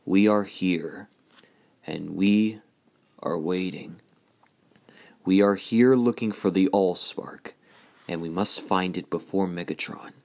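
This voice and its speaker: A man voicing a noble, solemn giant robot leader, declaiming a movie monologue gravely in a measured way. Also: low voice. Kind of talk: movie monologue in amateur voice acting.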